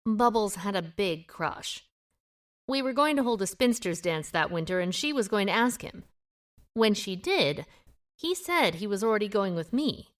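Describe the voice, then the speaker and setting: A woman's voice: mid-range voice, animated, normal speed, close to an everyday voice. A woman playing a guarded, independent small-town amateur sleuth in an audio drama, with a wry, reserved manner. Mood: reluctant, reflective. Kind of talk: audio drama dialogue acting.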